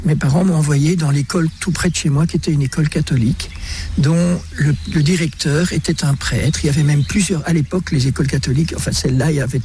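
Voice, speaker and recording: Un homme âgé à l'belge, invité d'une émission de radio, qui raconte son parcours en conteur vivant, ponctué de petits rires. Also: male voice, fairly high pitch, fast. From Belgium, raised in Brussels. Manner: lively storyteller, chuckles often. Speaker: interview guest. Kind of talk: radio interview, personal testimony.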